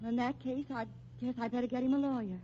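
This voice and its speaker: Actress as a quick, indignant, prim wife. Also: female voice, a little high, steady tone, warm tone, natural voice. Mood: indignant protest. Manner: haughty, flustered protest. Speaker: radio actress. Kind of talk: 1950s radio drama acting. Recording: muffled, noisy old radio recording.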